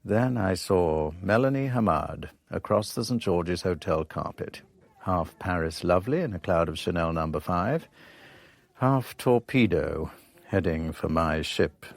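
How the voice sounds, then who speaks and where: An actor playing a skeptical foreign correspondent in a radio drama, dry and laconic. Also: male voice, low voice, expressive, measured pace, gravelly, full character voice. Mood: wry, narrating. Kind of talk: radio drama narration and dialogue.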